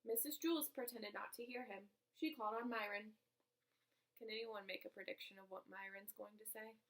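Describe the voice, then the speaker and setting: A woman reading a children's story aloud in an expressive way, speaking directly to young listeners, with a creaky voice. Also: medium pitch, moderate pace, poor audio.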